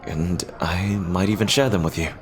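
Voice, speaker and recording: Deep-voiced, earnest pirate captain, acted by a man. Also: steady tone, crowd noise, natural voice. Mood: earnest, hesitant. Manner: halting, earnest, formal old-fashioned phrasing. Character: earnest lovestruck male pirate captain, hesitant and tender. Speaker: audio roleplay performer. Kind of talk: ASMR roleplay acting.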